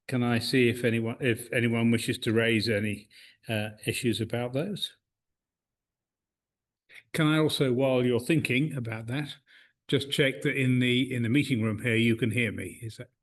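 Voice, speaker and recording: A man at a middle pitch chairs a club meeting in a meeting room. His voice is loud, and he stops and restarts often with plenty of uhs. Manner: hesitant, many uhs and restarts.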